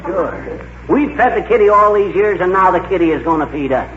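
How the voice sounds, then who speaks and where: A man's voice: sits high, animated, fairly quick, fairly bright tone. An actor playing a boastful, folksy middle-aged husband, cocky and ungrammatical with his wisecracks, in a 1940s radio comedy. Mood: cocky, needling. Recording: dull, echoey, hissy 1940s radio recording.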